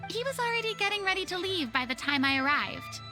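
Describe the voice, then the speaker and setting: A woman voicing a spirited, theatrical young lady with an expressive, chatty manner in a video game. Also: very high voice, fast. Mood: playful. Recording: music behind the voice.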